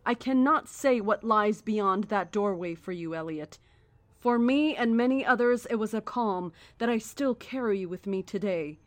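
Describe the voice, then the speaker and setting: A woman playing a calm, zealous cult leader in a horror audio drama, speaking in a measured, formal, persuasive way. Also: mid-range voice, close to an everyday voice. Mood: coaxing, zealous.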